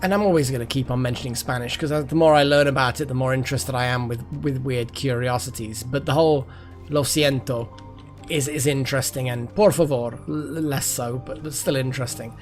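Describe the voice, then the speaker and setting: A man's voice: mid-range voice, steady tone, brisk, soft background music. A man in his thirties chatting casually on a live game stream, rambling and repeating words as he muses aloud.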